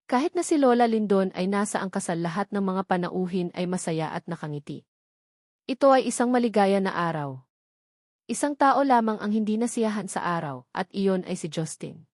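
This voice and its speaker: A woman's voice: medium pitch, darkish tone, no room sound, studio quality. A woman with a Filipino accent reading scripted novel narration as an audiobook narrator.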